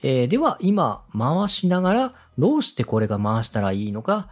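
Man coaching with very lively intonation. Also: mid-range voice, moderate pace. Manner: polite, instructive, with demonstrated example phrases. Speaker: radio director and podcast host giving advice. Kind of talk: step-by-step coaching on presenting.

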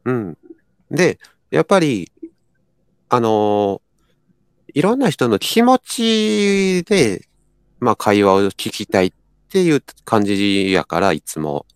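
Animated Kansai-accented man, very dry recording. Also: mid-range voice, takes it slowly, long pauses, clean tone, dry recording. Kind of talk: casual chat.

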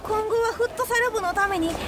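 A woman's voice: very high voice, fast talker. An actress playing an insecure, easily cowed teenage girl in a theatrical radio drama style. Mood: abject. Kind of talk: anime-style Japanese radio drama acting. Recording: loud traffic-like background noise.

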